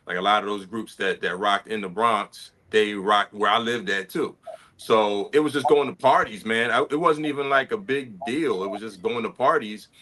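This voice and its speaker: Bright-voiced laid-back older man. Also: fairly low pitch, normal speed. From Connecticut. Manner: laid-back storytelling, often asks "you know what I mean". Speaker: guest hip hop artist. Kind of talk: casual interview chat.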